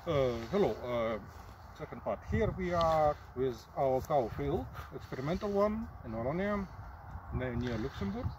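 A man in his forties with a Russian accent, talking in halting English and reciting poetry slowly and dramatically in an open field outdoors, recorded by a camera a few meters off. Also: fairly high pitch, animated. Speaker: performance artist. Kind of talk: deadpan comic monologue with recited poetry. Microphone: camera mic at a few meters. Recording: distant outdoor mic, faint field noise.

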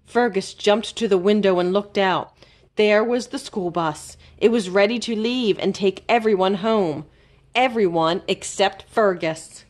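A woman storyteller reading a children's book aloud expressively, with careful, dramatic emphasis and pauses. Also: mid-range voice. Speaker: library storyteller.

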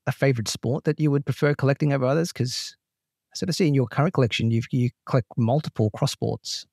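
A man's voice: medium pitch, quick pace, gravelly, a little mellow, breathy edge, no room sound. Dry-sounding male podcast host. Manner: friendly, says man and mate. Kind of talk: casual interview.

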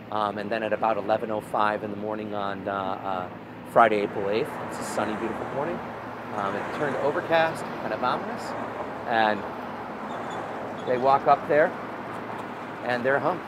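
A man in his thirties leading an outdoor walking tour, telling history as a story with lots of fillers and restarts. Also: lowish voice. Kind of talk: casual guided history talk. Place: outdoors on a city street beside a prison wall. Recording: outdoor street with traffic noise.

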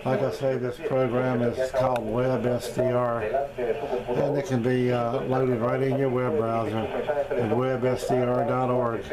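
Halting man demonstrating radio gear. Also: mid-range voice, flat delivery, a loud crowd, low-quality recording. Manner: halting, hesitant. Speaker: amateur radio reviewer, video host. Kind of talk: casual rambling gadget demonstration. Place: indoors at home, beside shortwave radio and computer. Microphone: handheld camera, speaker off screen. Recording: radio programme playing behind the voice. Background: shortwave radio audio.

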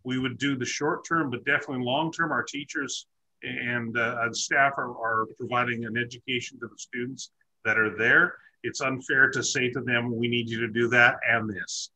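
A man's voice: medium pitch, fairly even intonation, moderate pace, bright, forceful tone. A man in his forties moderating a school district town hall over a video call, courteous and full of fillers. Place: office with framed certificates.

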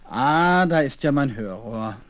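A man playing a kindly doctor and father in a children's radio play, playfully courteous and gently teasing. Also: mid-range voice, animated, a little slow, close to an everyday voice. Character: kindly fatherly doctor humoring his little daughter.

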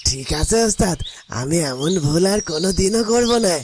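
Humble, pleading disciple, birds behind. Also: male voice, sits high, expressive, normal speed, background noise. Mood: meek, deferential. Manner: pleading, deferential. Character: humble, remorseful male disciple pleading with his master. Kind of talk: melodramatic cartoon acting.

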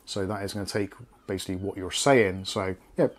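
A man's voice: low voice, brisk. Casual English man reviewing products. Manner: conversational, matter-of-fact. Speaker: host of a product review channel. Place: small home studio room.

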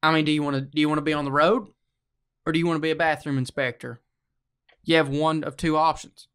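A man's voice: a little high, steady tone, fast, a bit bright, clean tone. A man in his twenties chatting casually as a podcast host, joking and playfully mock-dramatic, close on the mic. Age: 20s. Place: small room indoors. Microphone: handheld-close podcast microphone on a stand.

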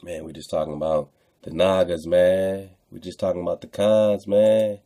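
A man's voice: deep voice, level tone, normal speed, dark tone. A man hosting online radio, commenting casually on texts he reads aloud, repeating phrases for emphasis and addressing listeners in slang.

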